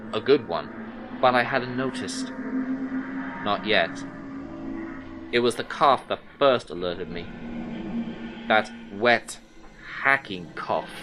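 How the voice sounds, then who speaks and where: A man playing a troubled detective who narrates an eerie horror audio drama in the first person, in a measured, brooding way. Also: mid-range voice, natural voice. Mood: baffled. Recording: music under the voice. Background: music soundtrack.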